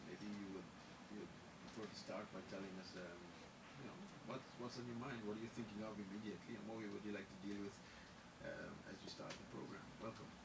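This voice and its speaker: A man hosts a radio interview in a lowish voice, stumbling through long questions with many fillers. Loud hiss and noise run under the recording. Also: steady tone, quick pace, gravelly. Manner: rambling, hesitant, many fillers. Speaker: radio host.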